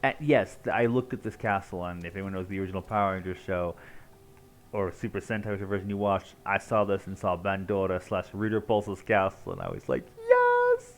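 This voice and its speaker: Male game streamer, low voice with hugely varied intonation, playful casual commentary. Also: wildly swooping pitch, a little fast. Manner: playful, reacting aloud to the game. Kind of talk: casual live gameplay commentary.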